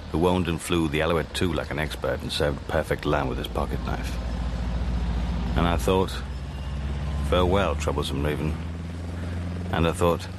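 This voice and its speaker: A very low-pitched British man as a wry spy telling his own story. He is dry and deadpan, in a radio drama with clear noise behind him. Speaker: first-person narrator. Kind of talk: radio drama narration and dialogue.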